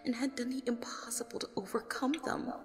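A woman playing a haunted, grieving survivor who recounts a horror ordeal in a breathy half-whisper, as dramatic audio drama narration. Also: a little high, flat delivery, fairly quick, faint music behind, natural voice. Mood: bitter, grim.

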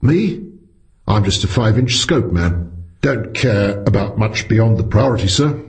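A man's voice: fairly low pitch, expressive, a little slow. A man voicing a plain-spoken working man and amateur astronomer with a folksy, direct, deferential manner, in a story reading. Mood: earnest.

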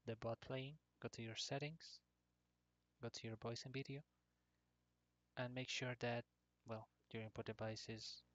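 A man presents an informal software tutorial. He speaks slowly and haltingly, breaking his thoughts into short phrases with little change in pitch. The recording is dull and lo-fi. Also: no room sound. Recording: dull lo-fi computer microphone.

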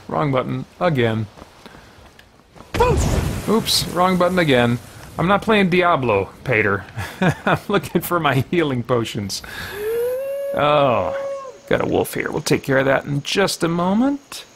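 A man tosses out quick, self-mocking remarks mid-game. His voice sits a bit high and bounces lively. Clear hiss runs under the headset recording. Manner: quick, self-deprecating asides. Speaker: let's play host. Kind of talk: casual gameplay commentary. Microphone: headset mic at a desk webcam.

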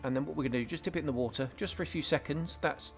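A man's voice: on the high side, very fast, faint music behind. A man hosting a scale modelling tutorial, talking casually through each step with frequent ums and restarts. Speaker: scale modelling channel host. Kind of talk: relaxed hobby tutorial voice-over.

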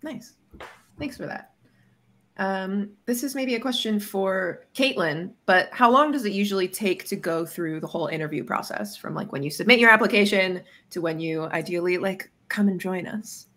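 A woman's voice: medium pitch, moderate pace, fairly bright tone. An informal woman moderating a livestream panel over video call, peppering her talk with filler words. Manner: informal, says "like" often. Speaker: host. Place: indoor room, video call.

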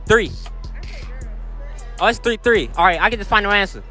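A man's voice: sits high, lively intonation, moderate pace, sharp tone, a faint crowd. A young man hosting casual public interviews in a playful, slangy way, talking into a handheld mic. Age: young adult. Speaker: host interviewing people in public. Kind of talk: casual street interview banter. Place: busy shopping mall and outdoor park. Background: music.

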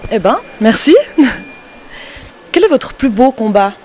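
A woman's voice: on the high side, expressive, slow pace, a little mellow. A woman interviewing a fight team, asking polite questions on a handheld mic. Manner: polite, prompting. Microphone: handheld mic with windscreen.

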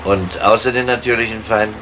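An actor playing a sober, persistent police detective in a radio crime drama, questioning deliberately. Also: male voice, fairly low pitch, fairly even intonation, heavy background noise, close to an everyday voice. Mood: probing. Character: sober, persistent male police detective investigating a missing person.

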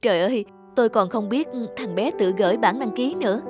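A woman's voice: a little high, lively intonation, brisk, over music, lightly acted. Woman acting a modest, earnest cartoon mother. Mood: embarrassed. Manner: earnest, protesting, tender with her child. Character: modest, reluctant single mother of a young boy. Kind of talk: animated moral drama acting.

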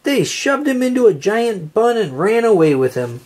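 An expressive reader narrating a children's story aloud and acting out the dialogue. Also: mid-range voice, normal speed, slight background noise.

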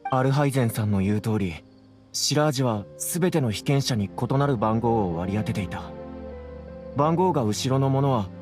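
A man's voice: mid-range voice, natural voice. An actor playing an earnest, apologetic young man in a Japanese anime game, speaking in a polite, subdued way.

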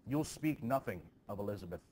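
A man playing a stern, gruff farmer in a stage play, firmly scolding and resisting temptation. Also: lowish voice, lively intonation, brisk, a little mellow, slight background noise, lightly acted. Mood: angry. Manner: firm, scolding dramatic reading.

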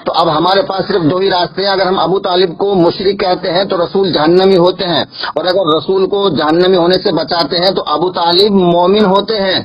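A male Islamic cleric debating religion over a recorded phone call, insistent and argumentative, pressing his points by repeating them, with a husky voice. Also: high-pitched, steady tone, brisk, hardly any pauses.

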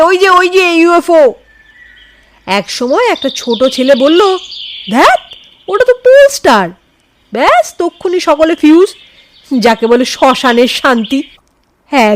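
A woman's voice: sits high, a little hiss or hum. A woman voicing a self-assured, skeptical young man in an audio story, easygoing, teasing and dismissive. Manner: easygoing, mocking.

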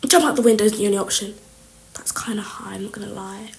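A woman's voice: medium pitch, fairly even intonation, quick pace, gravelly, lightly acted. A woman voicing a furtive schoolgirl in hiding, rambling under her breath in a school story. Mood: panicky, hushed. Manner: whispering, rambling.

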